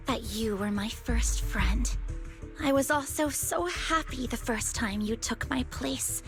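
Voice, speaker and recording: A young woman's voice, fairly high and unhurried, carries grief that has hardened into bitterness. She measures out her accusations slowly and sounds deeply wounded. Soft music plays beneath her. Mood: wistful, confessional. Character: bitter, grieving young woman raised inside a cult. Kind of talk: dramatic video game voice acting.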